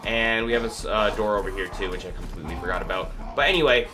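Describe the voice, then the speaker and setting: A man's voice: lowish voice, fast, bright, forceful tone, faint music behind. A man with a cold, congested and sniffling, hosting a casual gameplay walkthrough in a rambling, hesitant way full of fillers. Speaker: gaming video host. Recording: clear background noise.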